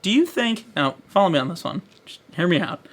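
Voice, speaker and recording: A man's voice: high voice, very animated, fairly quick. A man co-hosting a podcast, talking casually about pop culture in conversation. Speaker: podcast co-host.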